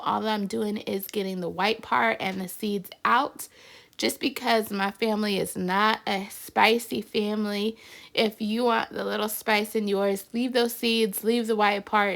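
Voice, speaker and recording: A woman's voice: medium pitch, vocal fry. Congested woman hosting a cooking vlog. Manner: chatty and upbeat, says "y'all" often. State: congested, stuffy nose. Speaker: cooking channel host. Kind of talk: casual cooking vlog narration.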